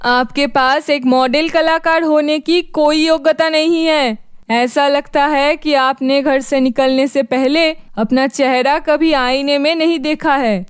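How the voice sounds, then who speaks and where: Snooty manager, played by a woman, cartoon story, high voice, unhurried, condescending. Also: fairly even intonation, dry recording, studio quality. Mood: mocking. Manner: dismissive, condescending. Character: dismissive, mocking office manager of a modelling boss. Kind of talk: cartoon fairy tale voice acting.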